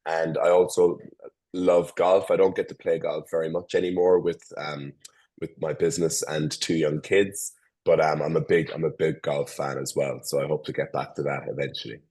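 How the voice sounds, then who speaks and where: A deep-voiced Irish man in his forties talks as a guest on a remote call. He keeps restarting his phrases and filling pauses as he goes. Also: level tone, darkish tone. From Ireland. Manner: hesitant, many restarts and fillers. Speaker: guest, tech company founder. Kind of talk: casual interview over a call.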